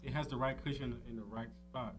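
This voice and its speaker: Casting: male interview guest, medium pitch, informal and upbeat, clear guitar music behind. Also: steady tone, fairly quick. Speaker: guest vendor being interviewed. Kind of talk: casual interview chat.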